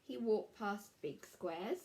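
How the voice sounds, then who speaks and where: A woman teacher with an English accent reading a picture book aloud to children, gently asking them questions along the way. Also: mid-range voice, lively intonation. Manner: gentle, slow, asks the listening children questions. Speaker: primary school teacher.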